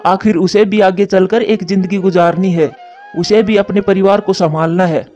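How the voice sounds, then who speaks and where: A man's voice: sits high, steady tone, normal speed, darkish tone, close to an everyday voice. A man voicing an earnest, admonishing crow, a concerned father in a cartoon. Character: male crow, concerned scolding father and husband. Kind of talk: Hindi cartoon voice acting. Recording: muffled narrow-band audio over music.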